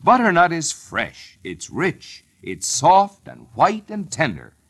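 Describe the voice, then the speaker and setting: Old timey radio ad guy, bright voice, super animated sales pitch. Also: takes it slowly. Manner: emphatic, polished. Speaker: radio advertisement announcer. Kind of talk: 1940s-50s scripted radio sales pitch.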